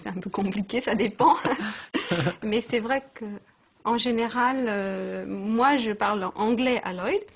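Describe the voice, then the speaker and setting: French mom chatting in an interview, a bit husky, higher voice, slightly dull recording. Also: female voice, slow. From France. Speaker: interviewee, mother and language teacher. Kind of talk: casual interview chat.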